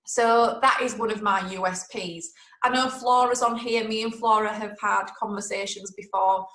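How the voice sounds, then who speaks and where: A woman's voice: medium pitch, fairly even intonation, moderate pace, fairly bright tone, echoey room, poor audio. A woman with a Yorkshire accent hosting a webinar, talking in a chatty, direct and motivational way. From Yorkshire, England. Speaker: webinar host.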